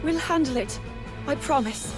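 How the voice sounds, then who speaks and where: An actress playing a gentle but resolute young princess in a video game, speaking earnestly and politely. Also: female voice, sits high, moderate pace, over music, lightly acted. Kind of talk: dramatic video game cutscene acting.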